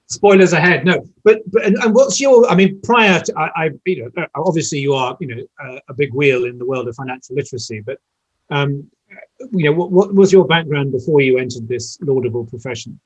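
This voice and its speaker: A man's voice: a little high, brisk, husky, dull audio. A man moderating a conference session over a video call. He speaks hesitantly, rambles, and restarts often.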